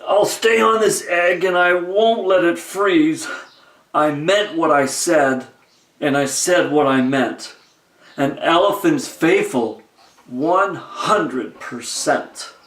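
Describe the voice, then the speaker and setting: A man voicing a huge, gentle, faithful elephant from a storybook, with an earnest, deliberate manner. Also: a little high, reverberant. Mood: steadfast.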